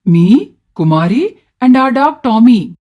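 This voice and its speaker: A woman with an Indian accent playing a meek, henpecked adult son in an animated story, speaking plainly and dutifully. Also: on the high side, measured pace, muffled recording. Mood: sheepish.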